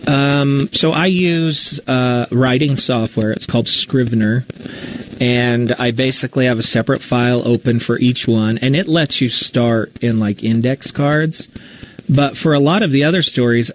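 A man's voice: medium pitch, slight background noise, rough recording. A man answering questions as a podcast storyteller in a casual live interview, candid and reflective as he thinks aloud. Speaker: writer and storyteller of a fiction podcast, being interviewed.

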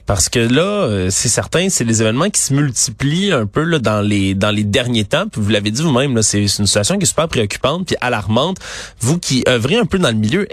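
A man's voice: medium pitch, dry recording, studio quality. A man with a Québécois French accent hosting a radio interview, asking long conversational questions full of casual tags.

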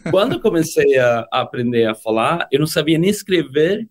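A middle-aged man from Venezuela, a native Spanish speaker with a Spanish accent, talking as a podcast guest in a relaxed, good-humored way with frequent hesitations. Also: on the high side, normal speed. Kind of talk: casual interview chat.

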